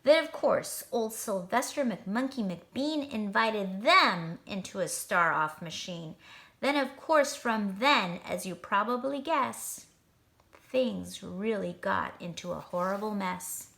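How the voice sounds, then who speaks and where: A woman reading a children's storybook aloud in an expressive narrating style. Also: mid-range voice, slow pace, vocal fry. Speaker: storybook reader.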